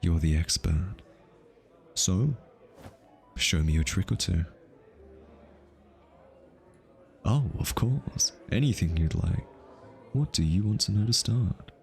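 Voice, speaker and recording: Low-voiced, slow, flustered hitman played by a man. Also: animated, creaky, soft background music, full character voice. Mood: playfully challenging. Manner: halting, stammering, nervously over-explaining. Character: easily flustered, lovestruck male hitman, awkward and analytical. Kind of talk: intimate ASMR audio roleplay.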